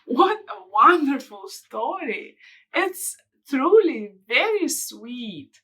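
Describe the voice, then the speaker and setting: A woman's voice: sits high, very animated, slow, a bit bright, husky. A woman reading aloud and informally teaching children, explaining things simply step by step. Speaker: children's story reader and drawing teacher. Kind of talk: read-aloud and informal craft lesson for children.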